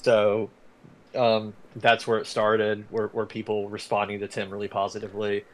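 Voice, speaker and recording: A man's voice: on the low side, normal speed. A man guest on a video call, chatting casually and tossing in jokey asides. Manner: joking, animated asides. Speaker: guest writer.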